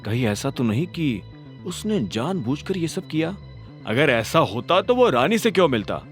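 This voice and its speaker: A male narrator voicing a calm, determined young hero in a storybook audio drama. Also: on the high side, normal speed, soft background music.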